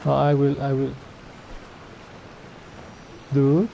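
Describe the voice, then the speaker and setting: A man's voice: medium pitch, flat delivery, drawn-out words, creaky, warm tone, muffled recording, a little hiss or hum. A man giving casual, slangy gameplay commentary as a streamer, muttering his reactions. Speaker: game streamer.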